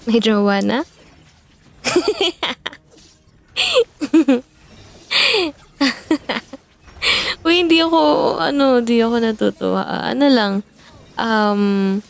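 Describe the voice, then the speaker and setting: A woman's voice: a little high, big pitch swings, very slow, gravelly, pauses often, heavily acted. A woman playing a teasing, giggly best friend. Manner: teasing, giggly, flustered backtracking. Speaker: ASMR roleplay performer. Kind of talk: audio roleplay, chatting while gaming.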